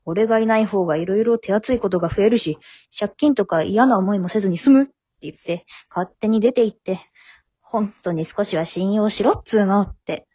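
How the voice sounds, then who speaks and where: Teen daughter, played by a woman, frank, gently chiding, matter-of-fact; dry, slightly lo-fi radio drama sound. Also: medium pitch, moderate pace, husky, no echo, natural voice. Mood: frank, reproachful. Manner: matter-of-fact, teasing, plain-spoken. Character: frank, forgiving teenage high-school daughter, gently chiding. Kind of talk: amateur short radio drama acting.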